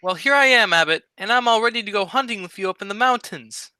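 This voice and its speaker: A man's voice: high-pitched, lively intonation, quick pace, pushed and bright, soft background music, exaggerated voice. A man playing a silly, dim-witted wisecracking sidekick in a 1940s-style radio comedy. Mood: eager. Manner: silly, cheeky wordplay.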